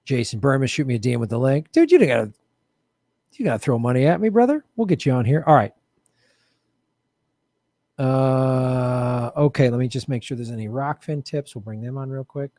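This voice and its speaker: A man hosting a live political show from a studio with bright lights, chatting casually and joking in a playful, self-mocking way. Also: mid-range voice, animated, moderate pace, long pauses, no echo. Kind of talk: casual livestream chat.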